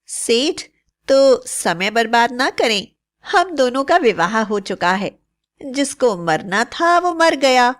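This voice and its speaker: Cartoon bride, woman performer: young, sugary and cunning, dramatic coaxing delivery in a higher register. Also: very animated, takes it slowly. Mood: coaxing, sweet. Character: young bride, sweet-talking, scheming, scornful. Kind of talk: melodramatic cartoon story acting.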